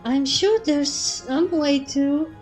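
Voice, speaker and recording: A woman's voice: high voice, slow pace, a little mellow, voice pushed up, rough recording. A dramatic, refined lady unicorn from a cartoon fan story, put on by a woman. The delivery is prim, theatrical and fretful, with music behind it. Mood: nervous.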